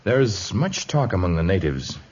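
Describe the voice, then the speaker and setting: An actor playing a noble, loyal jungle hero in 1950s radio drama, speaking in an earnest, formal way. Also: male voice, deep voice, very animated, unhurried, a little mellow, slightly rough, exaggerated voice. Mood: earnest, concerned. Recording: muffled, hissy old radio recording.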